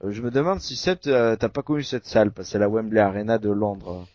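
A man's voice: fairly low pitch, brisk, no echo. Southwest french guy hosting a podcast, lots of euhs, dry slightly dull sound. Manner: chatty, full of "euh" fillers, animated. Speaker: podcast host. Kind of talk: casual podcast chat.

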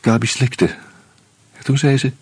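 An actor playing a wry, uneasy screenwriter who narrates a radio play in a measured, reflective way with hesitant fillers. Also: male voice, mid-range voice, little pitch movement, slight background noise, natural voice. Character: wry, uneasy male screenwriter caught up in murders.

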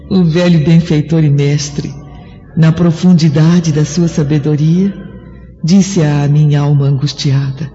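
Gentle martyr lady spirit, solemn declamatory old radio play, muffled w music behind. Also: sits low, fairly even intonation, in a large hall. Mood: tender sorrow. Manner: measured, lyrical, declamatory storytelling. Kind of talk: solemn Brazilian radio drama acting.

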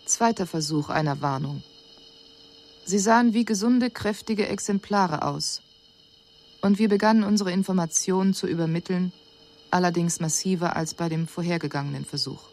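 Woman as lowish-voiced, composed alien envoy. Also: darkish tone, lightly acted. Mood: detached, puzzled. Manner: formal, measured, analytical. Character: composed female alien envoy filing a mission report. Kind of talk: scripted radio drama report narration.

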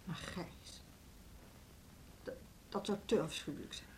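An actress playing a beleaguered, earnest music school head in a radio play, speaking articulately. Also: female voice, on the low side, measured pace, in a whisper, lightly acted. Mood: anxious. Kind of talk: Dutch radio play acting.

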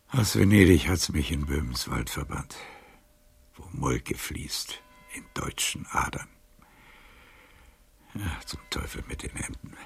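Very deep, gravelly, breathy old gallant. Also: male voice, heavily acted. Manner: slow, theatrical, musing to himself. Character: frail, aging, proud faded gallant, wistful and theatrical. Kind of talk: literary radio drama acting.

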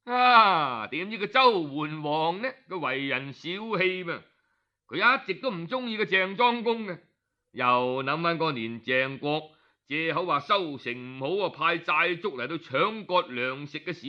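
A man's voice: on the high side, expressive, measured pace. A man with a Cantonese accent telling historical tales as an audiobook storyteller, in a colloquial, conversational way full of exclamatory particles. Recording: dull, lo-fi audio.

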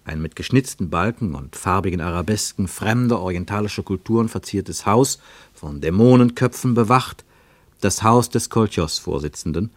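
Male narrator, fairly low voice, dry studio, measured literary reading. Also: no echo. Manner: measured, descriptive, poetic. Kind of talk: scripted literary narration in a radio play.

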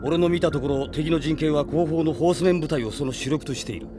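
An actor playing a curt, skeptical, world-weary mercenary in a Japanese radio drama, speaking roughly and plainly. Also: male voice, a little high, fast, background noise, lightly acted. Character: blunt, gruff, world-weary mercenary fighter.

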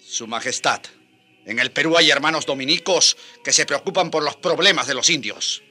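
A man's voice: a little high, normal speed, pushed and bright, faint music behind. A man playing an elderly, devout and stubborn friar bishop in a radio drama, with an emotional, pleading manner. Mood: humble pleading. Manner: emotional, hesitant repetitions, pleading.

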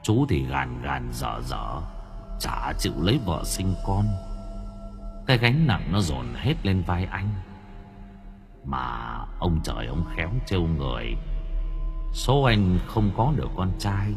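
A man voicing the ghost of an older village man who speaks in a low, even, murmured monotone. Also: a little slow, creaky, music in the background, full character voice. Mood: solemn.

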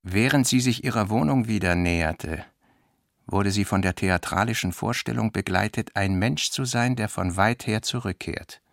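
A man reading literary narration for a radio play in a measured, carefully articulated way. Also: on the low side, creaky, no room sound, clean studio sound.

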